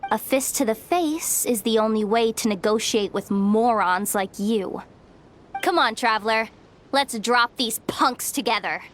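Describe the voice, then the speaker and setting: A woman's voice: on the high side, unhurried, fairly bright tone, a little hiss or hum. An actress playing a breezy, self-assured, streetwise young woman in a video game, talking in a casual, teasing, slangy way. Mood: cocky, unbothered. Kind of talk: video game character acting.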